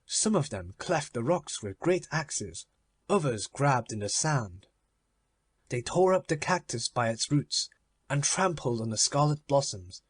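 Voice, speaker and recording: A man reading a literary children's story as a measured audiobook narration. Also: medium pitch, breathy edge, no echo.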